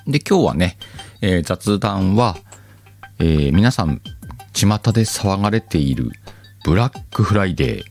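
Low-voiced, lively man. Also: unhurried, gravelly, faint music behind. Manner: chatty, self-deprecating, joking. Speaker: podcast host. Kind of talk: casual chat.

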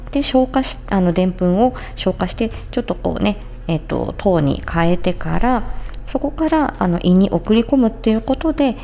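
A woman's voice: mid-range voice, mellow. A woman giving an explanatory health talk in plain, conversational words, like a podcast host addressing listeners. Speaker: podcast host, speech-language therapist.

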